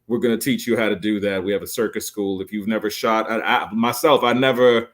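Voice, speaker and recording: Man, late 40s, lowish voice, podcast guest selling resorts, eager with lots of ums. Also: steady tone, fairly quick, fairly bright tone. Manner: enthusiastic salesy pitch with many fillers. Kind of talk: podcast interview.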